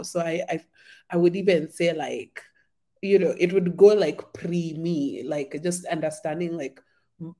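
A woman chats as a podcast guest. Her throat is a little sore. She rambles warmly through her memories with lots of fillers and easy laughter. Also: fairly low pitch. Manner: chatty, many "like" fillers, laughs often. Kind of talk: casual conversation.

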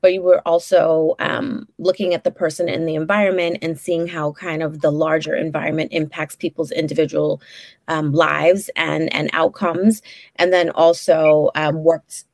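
A woman's voice: low voice, little pitch movement, normal speed, gravelly, darkish tone, dry recording, studio quality. A woman in her forties with a Brooklyn accent, a social worker talking frankly as an interview guest, with many fillers and restarts. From Brooklyn, New York. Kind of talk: casual interview conversation.

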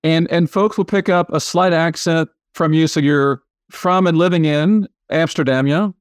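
Casual male podcast host, slightly high voice. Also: moderate pace, no room sound, studio quality. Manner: casual, hesitant, many restarts and tag questions. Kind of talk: casual interview chat.

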